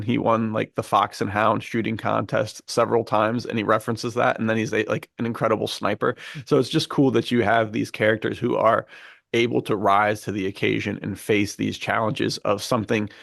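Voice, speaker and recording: A man's voice: fairly low pitch, gravelly, dry recording, studio quality. A man co-hosting a podcast, talking casually with slangy, laid-back enthusiasm. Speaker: podcast co-host.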